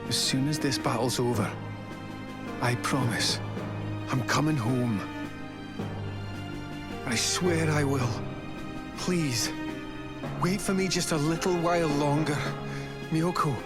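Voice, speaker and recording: An actor playing a remorseful, disfigured scientist husband and father in a video game drama, earnest, halting and melodramatic. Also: on the high side. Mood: devoted, resolute. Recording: loud music behind the voice. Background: loud background music.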